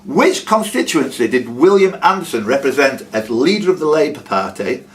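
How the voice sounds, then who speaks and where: A man's voice: medium pitch, big pitch swings, normal speed, background noise. A man hosting a quiz, reading questions aloud and teasing the players with jokey casual banter.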